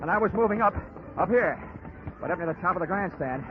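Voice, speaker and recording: A man's voice: high-pitched, lively intonation, fast talker. An actor playing a tough, wisecracking insurance investigator who narrates his case in clipped 1940s radio detective style. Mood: tense, driving. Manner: wisecracking, hard-boiled, clipped. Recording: muffled 1940s radio recording, music behind.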